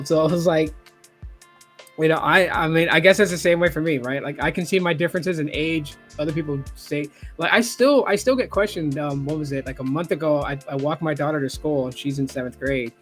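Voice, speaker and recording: A man's voice: on the high side, fairly quick, faint music behind. A man in his forties hosting a casual online chat, swearing freely and acting out quoted talk. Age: 40s. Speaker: YouTube host.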